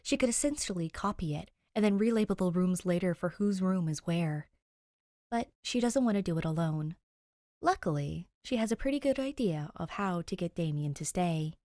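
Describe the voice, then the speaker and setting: A woman reading fiction aloud as an expressive podfic narrator. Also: lowish voice, normal speed, no echo, studio quality. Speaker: podfic reader. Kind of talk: expressive scripted story narration.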